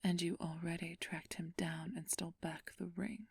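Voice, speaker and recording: A woman playing a flirtatious, haughty ancient vampire thief in a whispered ASMR roleplay, teasing and condescending with a drawn-out purr. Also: fairly low pitch, fairly even intonation, no room sound, studio quality. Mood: weakened, composed. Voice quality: breathy whisper.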